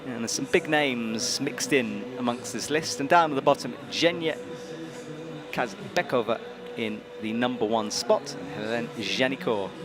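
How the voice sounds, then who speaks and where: Male live commentator, medium pitch, emphatic conversational delivery, music underneath. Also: a little slow. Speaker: sports commentator. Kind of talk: live sports commentary and presenting. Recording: music behind the voice.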